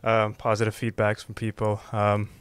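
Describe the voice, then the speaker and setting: A man giving football opinions as a show guest, relaxed and thinking aloud with frequent ums. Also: on the low side, moderate pace, smooth, dry recording. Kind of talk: casual football chat.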